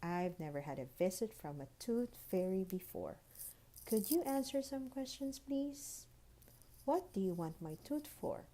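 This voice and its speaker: Curious little girl for a storybook, played by a woman: polite, eager, questioning, mellow and gentle in tone. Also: medium pitch, expressive, a little slow. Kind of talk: children's storybook read-aloud.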